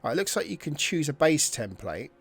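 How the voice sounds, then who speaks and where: A man's voice: a little high, sing-song, fairly quick, creaky, slightly rough. A man giving casual, chatty commentary as he plays a video game, thinking aloud and sometimes trailing off into mutters. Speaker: gaming channel host. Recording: faint game music behind.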